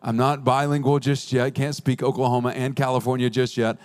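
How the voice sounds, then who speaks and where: A man preaching a sermon as a pastor, earnest with playful asides, slowing down to stress key phrases. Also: mid-range voice, level tone.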